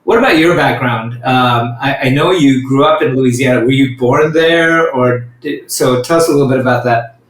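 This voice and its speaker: Brief: male podcast host, mid-pitched, thoughtful and measured, echoey room sound. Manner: measured, reflective, slows to think aloud. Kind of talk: conversational interview. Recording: roomy echo.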